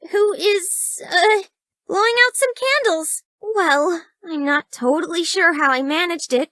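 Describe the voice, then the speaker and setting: Shy bookish young woman, extremely high voice, hesitant, sweetly awkward, easily flustered, dating-game character, dry studio sound. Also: fairly bright tone, no room sound. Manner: hesitant, breathy stammering, girlish and earnest. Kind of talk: video game dating-sim voice acting.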